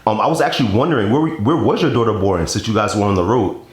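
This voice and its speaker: Relaxed male podcast host, lowish voice. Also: fast talker. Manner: relaxed, informal questioning with fillers like "like". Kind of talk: casual interview. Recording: roomy room sound.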